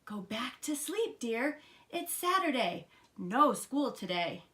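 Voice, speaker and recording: A woman voicing a patient frog mother from a storybook, soothing and calmly firm. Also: fairly high pitch, slow pace, full character voice.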